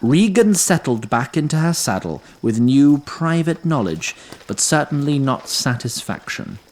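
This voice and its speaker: Expressive man narrating a fantasy tale. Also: on the high side, a little slow, rough recording. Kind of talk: scripted storytelling narration for fantasy audio drama.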